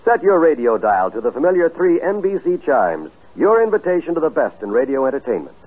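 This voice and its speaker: A man's voice: on the high side, sing-song, brisk, a little hiss or hum. A man announcing formally from a script in stately 1950s network radio style. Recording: tinny, muffled 1950s radio broadcast.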